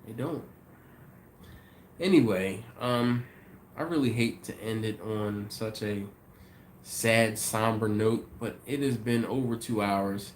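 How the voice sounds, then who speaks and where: A man's voice: on the low side, takes it slowly, slight background noise. A man hosting a casual livestream, chatting with viewers in an easygoing, rambling way and sounding a bit congested and sniffly. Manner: easygoing, thinks aloud with many ums and restarts. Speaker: livestream host. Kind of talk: casual live chat reading viewer comments.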